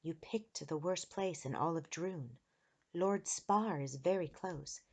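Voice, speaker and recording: Woman as a hushed, brave young princess. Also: takes it slowly, husky, full character voice. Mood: hushed, wary. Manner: hushed, low-key, serious delivery. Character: secretive young princess girl, calm, brave, urgent.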